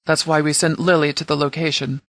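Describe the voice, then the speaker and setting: Guy doing a stern post-apocalyptic sheriff for a game, bit higher male voice, formal n measured, gives orders, totally dry sound. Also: level tone, a bit bright, dry recording, natural voice. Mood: commanding, grave. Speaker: game voice actor playing a sheriff. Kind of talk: scripted video game dialogue.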